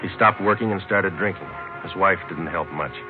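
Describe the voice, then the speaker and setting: An actor playing a stoic American police detective in an old radio drama, speaking in clipped, deadpan phrases. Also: male voice, low voice, normal speed, lightly acted. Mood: matter-of-fact. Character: stoic, matter-of-fact male police detective. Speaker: lead actor and narrator. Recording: muffled old radio broadcast, loud music.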